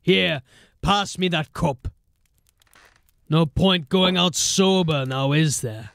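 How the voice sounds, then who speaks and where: An actor playing a dying old gang boss in a fantasy audio drama, gruff and sardonic, weak with pain and coughing. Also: male voice, fairly high pitch, slow pace, fairly bright tone, dry recording, full character voice. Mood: bitter, urgent. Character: dying old male gang boss, defiant, bitter, foul-mouthed.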